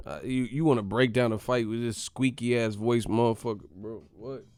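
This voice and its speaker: A man's voice: medium pitch, fairly even intonation, normal speed, a little mellow, smooth, no echo. A man hosting a boxing channel who rants in a slangy, profane way, laughing and mocking as he talks. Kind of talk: profane comedic vlog rant.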